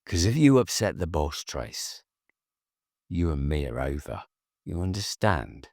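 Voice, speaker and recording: A man's voice: rumbling low, very animated, unhurried, a little mellow, no room sound, clean studio sound, exaggerated voice. Man doing a controlling, menacing bully. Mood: menacing coaxing. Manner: cajoling then hard and dismissive. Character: controlling, menacing grown man, cunning and short-tempered. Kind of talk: audiobook character voice.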